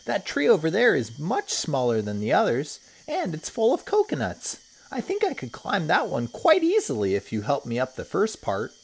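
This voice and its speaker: An eager little boy in a storybook, chattering excitedly in a raised, bouncing voice, as a man puts him on. He sounds keen and breathless. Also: a little high, normal speed, vocal fry, slightly rough, pitched above the performer's own voice, full character voice.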